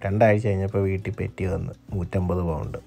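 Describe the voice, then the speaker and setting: A man's voice: low-pitched, flat delivery, fast, creaky, warm tone, faint music behind. A man from Kerala with a Malayali accent, talking casually as a vlogger narrating a day out. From Kerala, India.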